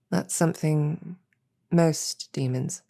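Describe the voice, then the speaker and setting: A woman voicing an affectionate, teasing female succubus demon in a soft, intimate roleplay audio drama. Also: heavily acted. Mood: coy, flirtatious. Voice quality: breathy, soft, low. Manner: teasing, intimate, unhurried, halting. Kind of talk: roleplay audio drama, whispered sleep-aid ASMR.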